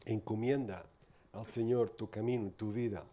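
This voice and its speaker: A man's voice: fairly low pitch, fairly even intonation, normal speed, dark tone. A man from Portugal preaching in Spanish with a Portuguese accent, giving an earnest, informal sermon full of tag questions.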